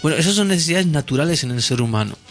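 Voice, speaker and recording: A man's voice: fairly high pitch, lively intonation, rapid-fire, soft background music. Hesitant man explaining. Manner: hesitant, many fillers and restarts, explanatory. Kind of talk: casual conversational explanation.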